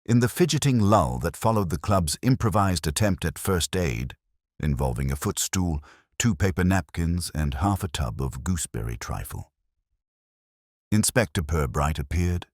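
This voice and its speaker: A man narrating a genteel comic mystery story in a measured, literary and dryly understated manner. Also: sits low, expressive, dry recording, clean studio sound.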